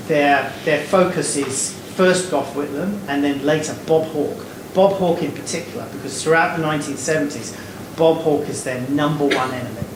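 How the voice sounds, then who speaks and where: A man answering an audience question on a panel stage, wry and digressive with many fillers. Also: a little high. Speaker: lecturer. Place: stage before a live audience in a hall. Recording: echoey hall, air conditioning hum.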